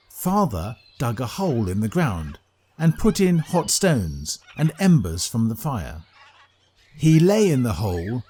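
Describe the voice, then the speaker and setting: A man narrating a scripted children's story in a deliberate, expressive storytelling manner. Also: mid-range voice, a little hiss or hum.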